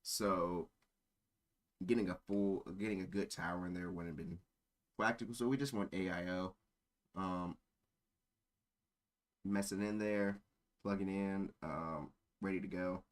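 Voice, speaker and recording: A man's voice: low voice, fairly even intonation, a little slow, pauses often. A man streaming casual chat in a rambling, easily sidetracked way. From born in Germany. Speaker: live streamer.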